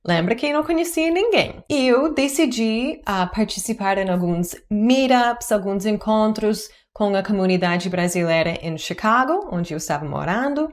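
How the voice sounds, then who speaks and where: A woman in her forties, a native English speaker, talking in Portuguese in a chatty, earnest vlog with upbeat jumps in pitch. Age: 40s. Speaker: YouTuber teaching languages. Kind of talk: personal vlog narration to camera.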